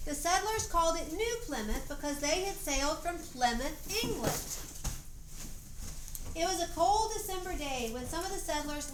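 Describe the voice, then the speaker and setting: A woman with a Southern US accent reads a story aloud as narrator, breaking off to give stage directions to young performers. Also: high-pitched. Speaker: narrator directing a children's dramatization. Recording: echoey room, noisy amateur recording.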